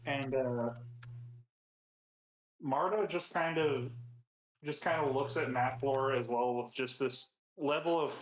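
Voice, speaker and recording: A man's voice: mid-range voice, slow, pauses often, roomy sound, a little hiss or hum, rough recording. A man playing a tabletop roleplaying game, casually describing what his character does. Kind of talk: casual in-game narration and chat.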